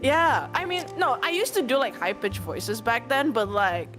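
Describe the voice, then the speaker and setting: A young woman with a high, very lively voice that swoops all over as she chats on stream. She tells stories animatedly, peppered with "like" and laughter. Faint game music plays behind her. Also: moderate pace. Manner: animated storytelling, lots of "like", frequent laughter. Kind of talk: casual chat while gaming.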